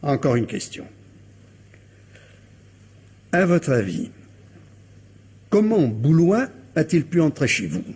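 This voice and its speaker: An actor playing a shrewd, insistent police commissioner who questions a suspect with courteous, insinuating pressure, in a French radio crime drama. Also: male voice, on the high side, wildly swooping pitch, slow, pauses often, a little mellow, slightly rough, heavily acted. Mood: grave, accusing.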